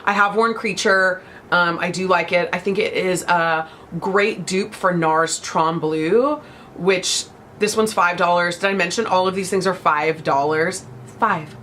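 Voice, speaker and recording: A woman's voice: on the low side, steady tone, measured pace, a bit bright, a little hiss or hum. A young woman hosting a beauty vlog, chatting casually and gushing about products, still a bit congested from a cold. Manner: bubbly, gushing, chatty with many fillers. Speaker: beauty vlogger. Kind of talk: casual chat.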